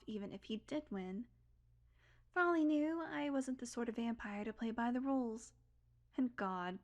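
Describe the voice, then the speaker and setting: A woman's voice: fairly high pitch, lightly acted. A woman playing a sardonic, secretly tender long-lived vampire in an intimate audio roleplay, talking in a casual, wry, confiding way. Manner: casual, confiding, wry, swearing, drifting into whispers.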